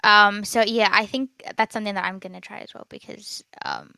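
A teenage girl chatting on her podcast, with frequent ums, small stutters and giggles. Her voice is bright with a gravelly fry. The sound is dry and close to the mic. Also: medium pitch, steady tone, a little fast, no room sound. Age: teens. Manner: stutters, many fillers and giggles. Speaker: podcast host. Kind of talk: casual chat.

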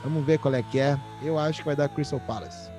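A man's voice: on the high side, brisk, faint music behind. A man from southern Brazil hosting a podcast, chatting casually about football with playful, joking banter. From Rio Grande do Sul, Brazil. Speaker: podcast host.

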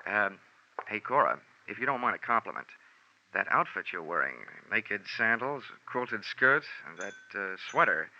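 A man's voice: on the low side, sing-song, moderate pace, pushed and bright, exaggerated voice. An actor playing a wry, flippant male insurance investigator in 1940s radio detective style, speaking with a sardonic manner. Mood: flirtatious. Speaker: lead actor and narrator. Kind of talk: 1940s radio detective acting, wry first-person narration. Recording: dull vintage 1940s radio recording, faint music.

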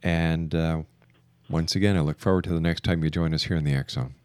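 A man hosting a talk radio interview, speaking in an emphatic, deliberate way and repeating phrases for emphasis. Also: extremely low pitch, fairly even intonation, darkish tone, clear, resonant tone, no echo, studio quality. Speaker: radio show host.

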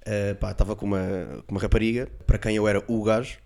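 A man's voice: lowish voice, quick pace, vocal fry. A man with a European Portuguese accent co-hosting a podcast, chatting casually and telling comic stories with big laughs. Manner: animated, laughs loudly at his own stories. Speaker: podcast co-host. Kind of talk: casual comedic chat.

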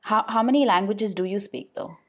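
A woman's voice: medium pitch, brisk. A woman from India chatting casually, hedging as she thinks aloud. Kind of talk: casual chat.

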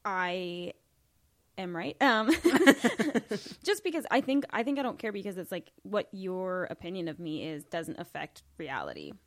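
A woman's voice: fairly low pitch, sing-song, measured pace. A young woman co-hosting a podcast, speaking in a confident, dry, matter-of-fact way. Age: young adult. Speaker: podcast co-host. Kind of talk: casual chat.

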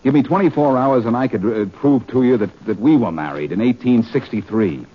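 An actor playing a brash, wisecracking American sleuth in a 1940s radio drama, wry and insistent in manner. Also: male voice, mid-range voice, fairly quick, slightly rough, natural voice. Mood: urgent, pleading. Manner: wry, insistent, clipped questioning. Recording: dull, noisy old radio recording.

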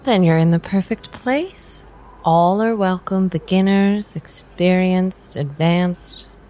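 Woman acting a mysterious fortune teller, radio drama, slow deliberate delivery, lowish female voice, calm, welcoming. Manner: deliberate, enigmatic, pausing between words.

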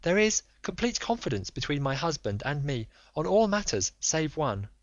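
A man's voice: a little high, very animated, normal speed, no echo, pitched above the performer's own voice. A man voicing an anxious noble Victorian lady who pleads in formal, earnest speech. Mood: pleading. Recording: dull lo-fi dry recording.

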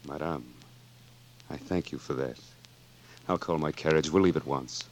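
A formal, dignified doctor in an old radio play. The voice sits very low and moves with measured courtesy. Faint broadcast noise runs behind it. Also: male voice. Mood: humbled, kindly. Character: formal, dignified male physician, former rival. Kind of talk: 1940s radio drama acting.

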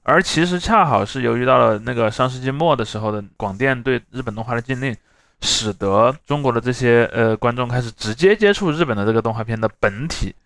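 A man speaks quickly in a dry recording. He hosts conversationally, unfolding explanations in long discursive runs and checking in for agreement as he goes. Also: medium pitch, animated, creaky, fairly bright tone, dry recording. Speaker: podcast host.

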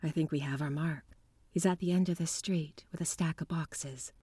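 A woman's voice: sits low, steady tone, normal speed, warm tone, husky. A woman playing a no-nonsense, matter-of-fact occult detective in a 1940s noir radio drama. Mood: watchful. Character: brisk, no-nonsense female detective with occult know-how.

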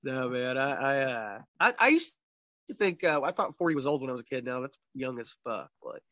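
A man's voice: mid-range voice, very animated, a little fast, sharp tone, dry recording, dull audio. A man in his thirties, a podcast co-host chatting casually, loose and joking, swearing freely. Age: 30s. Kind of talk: casual chat.

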